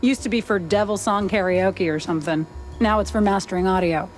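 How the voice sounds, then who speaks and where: A woman's voice: medium pitch, fairly quick, noisy background, natural voice. A woman playing a sassy, jaded 1930s newspaper reporter mouse in a noir cartoon game. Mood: businesslike. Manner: wry, world-weary.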